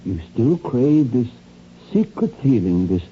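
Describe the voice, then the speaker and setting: An actor playing a patient psychiatrist who probes soothingly with measured, hesitant pauses in a radio drama. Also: male voice, medium pitch, very animated. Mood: probing. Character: patient, probing male psychiatrist. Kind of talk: 1970s radio drama acting. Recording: muffled, noisy old radio recording.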